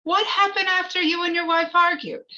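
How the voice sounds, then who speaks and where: Woman playing a sardonic, high-voiced courtroom prosecutor. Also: level tone, bright, forceful tone, heavily acted. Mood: probing. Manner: accusatory, deliberate, sardonic. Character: pressing, sarcastic courtroom prosecutor questioning a witness. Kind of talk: dramatic screenplay reading. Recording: dull, lo-fi recording.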